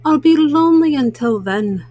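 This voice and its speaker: A woman's voice: sits high, animated, normal speed, dark tone, no echo. A woman voicing a cheerful, eager male frog in a storybook, coaxing and earnest. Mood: pleading.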